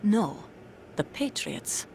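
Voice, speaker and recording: A woman's voice: mid-range voice, very animated, takes it slowly, vocal fry, darkish tone, slight background noise, heavily acted. A woman playing a grave, guarded undercover soldier in a video game, speaking in a serious, controlled, confiding way. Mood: guarded, urgent. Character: guarded female undercover soldier, grave mother of a stolen child. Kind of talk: dramatic video game cutscene acting.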